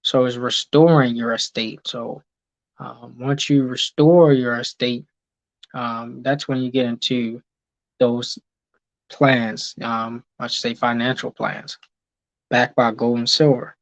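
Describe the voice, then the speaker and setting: A man talks through his ideas at an easy pace, with a mid-pitched voice and a lot of "um" and "okay" between thoughts. He stops to read viewers' comments aloud and answer them. The recording is dry and a little dull, like a cheap mic. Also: fairly even intonation, dry recording. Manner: unhurried, many ums and okays, reads comments aloud. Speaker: host reading live chat. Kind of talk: casual teaching talk with viewers.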